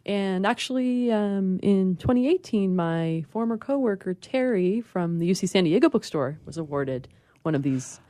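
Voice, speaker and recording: A woman speaking as a bookseller guest in a casual radio interview, informal and gently enthusiastic. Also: mid-range voice, expressive, moderate pace, no echo. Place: radio studio.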